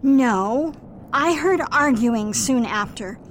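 A woman playing a suspicious, disapproving mother who nags slowly about her daughter's fiancé, in an amateur radio drama. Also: on the high side, lively intonation, slight background noise. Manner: slow, suspicious, nagging.

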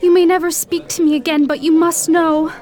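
A woman's voice: very high-pitched, little pitch movement, fairly quick, darkish tone. A woman playing an anguished young bride-to-be in a melodramatic radio play, burdened by a shameful secret. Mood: resolute, sorrowful. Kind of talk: melodramatic radio drama acting.

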